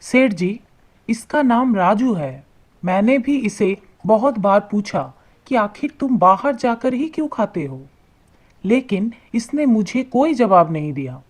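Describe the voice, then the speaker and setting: A helpful man at a temple in an animated moral tale, played by a woman. He is respectful and explanatory, speaking at a middle pitch and without hurry. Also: a little slow, breathy edge. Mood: informative.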